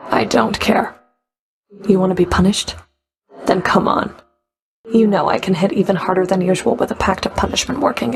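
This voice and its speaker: Casting: stern female Fury guard for a video game; gravelly creak, mid pitch, cool sardonic taunts, curt commands. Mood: stern, challenging. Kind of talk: video game character voice lines.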